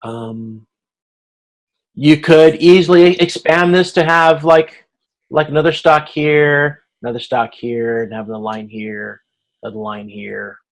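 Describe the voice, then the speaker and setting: A man instructing in an informal online tutorial, thinking aloud in a halting way, correcting himself and checking that he is being followed. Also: a little high, fairly even intonation. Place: online video conference.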